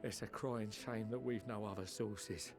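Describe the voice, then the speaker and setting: A man playing a rough-spoken, chirpy engineer in a video game, with a colloquial British accent and animated, folksy manner. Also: fairly low pitch, a little slow, over music. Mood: enthusiastic. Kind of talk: video game cutscene acting.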